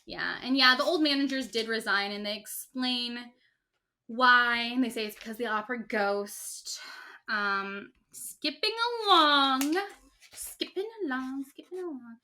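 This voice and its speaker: A woman's voice: on the high side, a little slow, bright, forceful tone. A woman co-hosting a casual podcast, retelling a story with lots of fillers and acted-out quotes. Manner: rambling, many fillers, acts out quotes. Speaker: podcast co-host.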